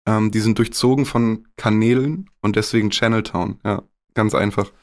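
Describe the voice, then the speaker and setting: A man's voice: fairly low pitch, fairly even intonation, moderate pace. A young man from northwest Germany, a rapper speaking candidly and casually in a podcast interview, with some swearing and English slang.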